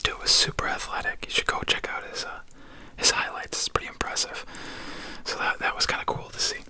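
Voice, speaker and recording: Whispering ASMR host, soft and casual. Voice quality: unvoiced whisper throughout. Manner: casual, halting, conversational. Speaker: video host. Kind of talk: whispered ASMR card-opening chat.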